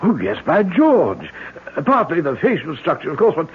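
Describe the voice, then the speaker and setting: An actor playing a fussy elderly English country doctor in an old-time radio drama, hesitant and stammering. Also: male voice, a little high, wildly swooping pitch, moderate pace. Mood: hesitant, earnest. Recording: tinny, noisy vintage radio recording.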